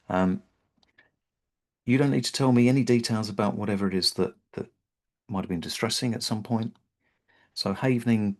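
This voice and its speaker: A middle-aged British man leading an online webinar, speaking gently and reassuringly, with frequent ums and repeated words. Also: sits low. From Britain.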